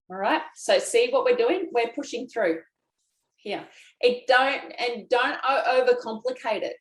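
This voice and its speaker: Chatty woman teaching self-massage, slow. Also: mid-range voice, roomy sound, rough recording. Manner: chatty, folksy, talks to viewers directly. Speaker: lymphatic drainage instructor. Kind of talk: guided self-massage class.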